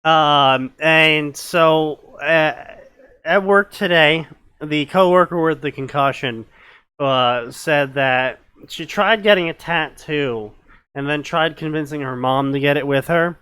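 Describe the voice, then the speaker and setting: A young man co-hosting a stream, telling work anecdotes in casual, deadpan chat with lots of fillers. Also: fairly high pitch, takes it slowly, clean tone, dry recording. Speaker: stream co-host.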